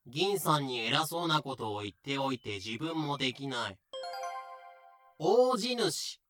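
A man's voice: medium pitch, very animated, a little slow, a bit bright, faint music behind. A man reading scripted explanatory narration as the narrator of an audio drama.

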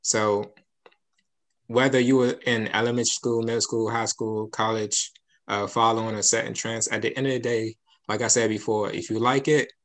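A man co-hosting a podcast, talking in a measured, advice-giving way. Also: lowish voice, little pitch movement, a bit bright. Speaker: podcast co-host. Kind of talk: casual podcast chat.

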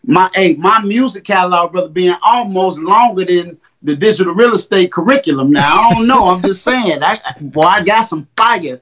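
A man's voice: high-pitched, animated, moderate pace, hardly any pauses, fairly bright tone, low-quality recording. A man in his fifties, a guest rapper and coach, talking in casual, boastful street slang on a makeshift mic. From Indiana, US. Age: 50s. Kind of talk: casual interview. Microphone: makeshift mic, not a studio one.

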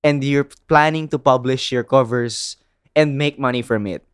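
A man's voice: mid-range voice, moderate pace, clean tone, no room sound, studio quality. A man with a Filipino English accent, chatting casually and giving opinions with lots of "like" fillers. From Philippines. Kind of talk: casual chat.

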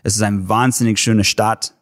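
Man, american accent, deeper voice, hesitant non-native german, interview guest. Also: level tone, a bit bright. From Texas, USA. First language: English. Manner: halting German with English words mixed in, many fillers. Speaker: football coach, podcast guest. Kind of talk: casual interview.

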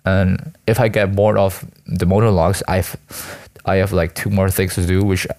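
A man's voice: sits low, flat delivery, normal speed, a little mellow. A young man from Malaysia, a student, rambling casually in a hesitant, self-deprecating, slangy way. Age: teens to young adult, school student. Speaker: student vlogger and aspiring actor.

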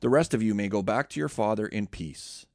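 A man's voice: lowish voice, fairly quick, darkish tone, no echo, clean studio sound, close to an everyday voice. A man voicing a stern, powerful ruler who issues orders and accusations in a commanding way.

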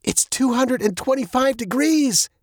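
Guy doing a scared little girl, really high voice, frantic, kids adventure story. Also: measured pace, a little mellow, dry recording, clean studio sound. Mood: frantic, alarmed. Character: frantic, frightened young girl, daughter in peril.

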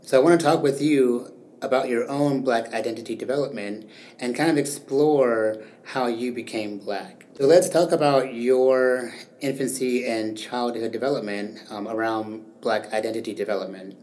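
A young man hosting an educational interview podcast, reading prepared definitions and asking measured questions. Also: medium pitch, steady tone, darkish tone. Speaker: podcast host. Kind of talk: semi-scripted educational interview.

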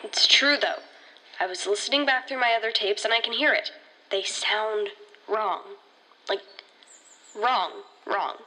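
A woman's voice: mid-range voice, unhurried, pauses often, sharp tone, low-quality recording. A woman playing an anxious, defensive teenage rookie ranger in an audio drama, insistent and sarcastic. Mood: insistent, pleading.

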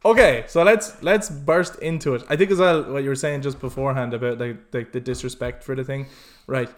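A man's voice: medium pitch, a little fast. A man hosting a podcast in casual, opinionated chat, talking emphatically and swearing freely. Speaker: podcast host.

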